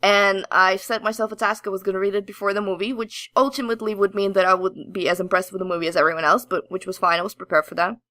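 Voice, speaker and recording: Animated, excitable woman chatting geekily, close and dry. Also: medium pitch, fast talker, few pauses, no room sound. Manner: excitable, giggly, gushing. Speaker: podcast co-host. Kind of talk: casual geeky chat, animated storytelling.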